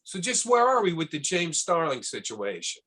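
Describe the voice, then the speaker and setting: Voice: mid-range voice, big pitch swings, normal speed, fairly bright tone, slightly rough. An actor playing a ruthless, scheming boss in a screenplay table read, blunt and commanding. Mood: irritated.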